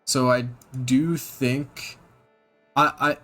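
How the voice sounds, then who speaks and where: A man's voice: mid-range voice, steady tone, bright, forceful tone. Guy reacting to a clip, lots of ums and restarts, blunt and swears, medium voice, light music behind. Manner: halting, full of ums and restarts, blunt and profane. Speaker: reaction channel host. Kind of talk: casual reaction commentary.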